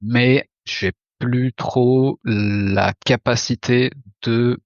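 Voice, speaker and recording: A man's voice: mid-range voice, steady tone, extremely slow, no room sound. A man in his thirties from Italy, a graphic designer, speaking hesitantly with many fillers as a podcast guest. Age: 30s. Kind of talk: casual interview chat. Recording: dull lo-fi sound.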